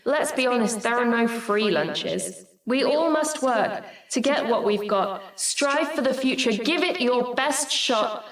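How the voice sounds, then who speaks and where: A woman's voice: fairly high pitch, measured pace, hardly any pauses, far from the mic. A woman acting in a radio play as an ambitious, guarded political candidate who performs rhyming verse. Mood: rousing, declamatory. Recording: roomy echo, music behind.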